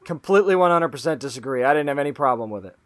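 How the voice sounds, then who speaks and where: A man's voice: fairly high pitch, lively intonation, very fast. A man co-hosting a casual movie review chat, arguing his side emphatically and swearing casually. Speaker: podcast co-host.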